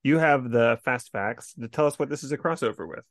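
A man's voice: medium pitch, a little fast, dry recording, studio quality. A man hosting a podcast, casually asking questions and steering the discussion. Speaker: podcast host. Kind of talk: casual interviewing.

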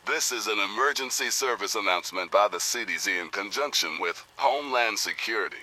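Male actor as a deep, bright-toned government alert announcer, formal. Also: fairly quick, full character voice, low-quality recording. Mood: official. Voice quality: formal, even official reading. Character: male emergency broadcast announcer. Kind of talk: audio drama radio announcement.

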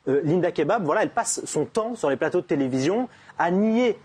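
A man's voice: high-pitched, expressive, fairly quick, a little mellow, slightly rough. A man in a political discussion, arguing his point with stammering restarts.

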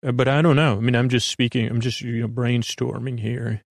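A man with a creaky voice hosting a sleep podcast, talking in a meandering, hesitant monologue that trails off into tangents. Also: medium pitch, steady tone, fairly quick, dry recording, clean studio sound. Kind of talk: rambling monologue to help listeners sleep.

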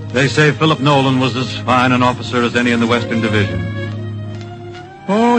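An actor playing an earnest, solemn storyteller, narrating in poetic phrases with dramatic pauses in an old-time radio drama. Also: male voice, mid-range voice, lightly acted, rough recording. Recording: vintage radio broadcast with music.

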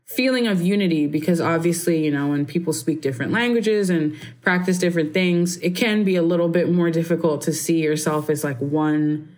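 A woman's voice: low voice, brisk, hardly any pauses. Young woman, easygoing, full of "like". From Barbados. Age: 20s. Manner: relaxed, rambling, heavy use of "like" and "um". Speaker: interviewee. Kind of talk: casual conversational interview.

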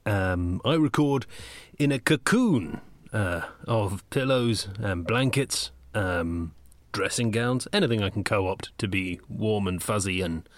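A man chats casually in a halting way, his pitch moving freely. The sound is dry and padded, as if from inside a wardrobe full of blankets. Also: dry recording. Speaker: voice actor in an audio fiction podcast. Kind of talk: casual talk about home recording. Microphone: handheld digital recorder.

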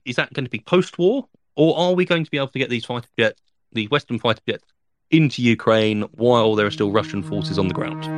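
A man's voice: medium pitch, dry recording. A man talking through the news as a correspondent, working out his points aloud with frequent ums and restarts. The sound is close and dry, with no room around it. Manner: thinking aloud, many fillers and restarts. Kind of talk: spoken news analysis.

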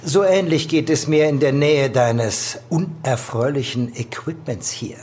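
An actor playing a sly, mocking supernatural villain in an audio drama, theatrical and taunting, with sighs and breathy whispers. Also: male voice, fairly high pitch, slow pace, a little mellow. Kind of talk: German audio drama acting.

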